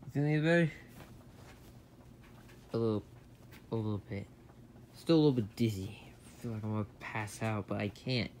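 A man's voice: lowish voice, a little mellow, slight background noise. A man voicing plush-toy characters in plain, everyday conversation. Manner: slow, plain conversational delivery. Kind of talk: amateur plush-toy drama dialogue.

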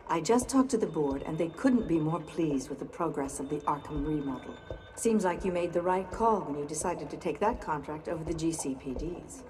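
An actress playing a poised, inquisitive corporate chairperson in a video game, with a businesslike manner. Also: female voice, low voice, moderate pace, close to an everyday voice. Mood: pleased, businesslike. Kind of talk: cinematic video game dialogue.

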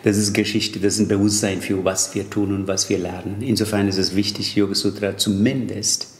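A man's voice: on the low side, level tone, fairly quick, echoey room. A man with a Tamil accent explaining yoga texts in an interview, thoughtful and hesitant with fillers. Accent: Tamil-accented German. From Tamil Nadu, India. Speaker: yoga teacher and Sanskrit scholar. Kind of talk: interview answers.

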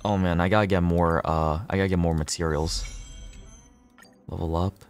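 A man with a deep voice chats to viewers on a gaming livestream. He talks casually, curses freely and repeats himself as he reasons out loud. Soft music plays behind him. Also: fairly even intonation, moderate pace. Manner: casual, sweary, repeats himself, thinks aloud.

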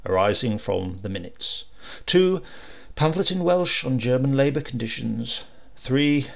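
A man's voice: mid-range voice, creaky. A man narrating a comic short story in a dry, wry storytelling manner. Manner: dry, wry storytelling with lively voiced dialogue.

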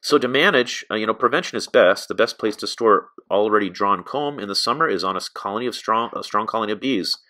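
A man's voice: fairly low pitch, fast, few pauses, sharp tone. A middle-aged American man giving an informal, explanatory narrated lesson as an instructor, often adding asides. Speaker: beekeeping instructor.

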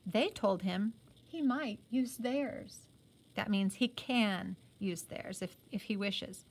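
A woman teacher reading a novel aloud expressively, adding explanatory asides. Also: fairly high pitch.

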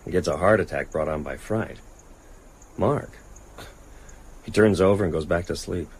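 An actor playing a thoughtful, sober man in a radio drama, measured and wry. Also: low voice, slightly rough. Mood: wry reflective. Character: thoughtful adult male narrator, sober, wry. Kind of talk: radio drama narration and dialogue.

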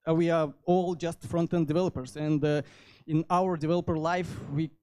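A man in his thirties with a Russian accent giving an informal technical talk into a handheld microphone, halting often as he goes. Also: on the high side, steady tone, a little mellow. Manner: halting delivery with frequent hesitations. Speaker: conference speaker, software developer. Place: indoor meetup venue.

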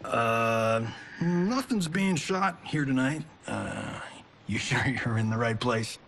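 A man's voice: mid-range voice, expressive, slow, fairly bright tone, slight background noise. An actor playing a cocky, sarcastic male movie star in video game cutscenes, joking and laughing often. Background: music.

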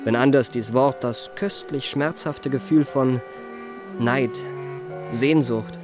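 An actor playing a refined, self-enamoured memoirist, narrating a radio play in an ornate, savouring manner. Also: male voice, medium pitch, slow, music in the background, lightly acted. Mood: rapturous.

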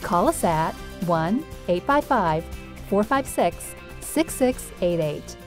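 A woman's voice: lowish voice, animated, takes it slowly. A woman reading a scripted commercial voice-over as an announcer. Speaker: advertisement announcer. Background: music.

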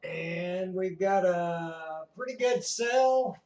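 High-pitched American man, slow casual commentary. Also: darkish tone. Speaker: amateur storm-watcher narrating a screen recording. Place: indoors at home by a computer. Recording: roomy echo.

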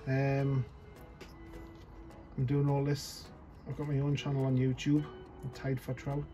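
A man's voice: medium pitch, monotone, unhurried, mellow, soft background music. A man giving a casual fly tying tutorial at his desk, chatty and self-deprecating, thinking aloud as he works. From North East England.

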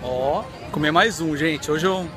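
Brazilian male vlogger, 30s, high bright voice, chatty and excited, phone mic outdoors with music under it. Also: a little slow, crowd noise. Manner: chatty, excited, lots of "né?" tags. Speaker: vlogger host. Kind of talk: upbeat vlog chat. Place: outdoors in a city park. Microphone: phone at arm's length.

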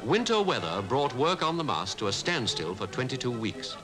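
A man with a British Received Pronunciation accent reading scripted 1950s newsreel narration in a formal, measured way. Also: medium pitch, big pitch swings, loud music behind the voice. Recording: 1950s newsreel archive soundtrack.

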